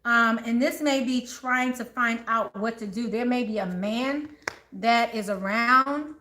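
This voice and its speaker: A woman with a high, bright voice reads tarot cards for her viewers, chatty and quick to laugh. The room echoes, with a faint hiss underneath. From born in Texas, raised in the Maryland and DC area. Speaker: tarot reader. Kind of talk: live tarot reading chat.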